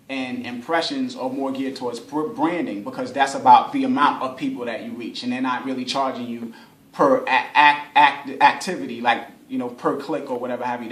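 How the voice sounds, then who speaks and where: A man giving an informal workshop explanation as an instructor, conversational, repeating phrases for emphasis and acting out hypothetical examples. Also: mid-range voice. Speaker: workshop instructor, advertising specialist. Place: indoor room at a radio station. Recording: roomy, echoey workshop recording.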